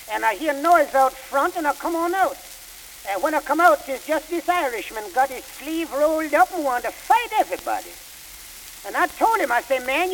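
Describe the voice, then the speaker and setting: A man's voice: extremely high pitch, rough recording. An actor playing a laconic janitor telling his side to a judge in a dry, drawling way. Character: wry Southern shop janitor, man, laconic. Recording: hissy old record.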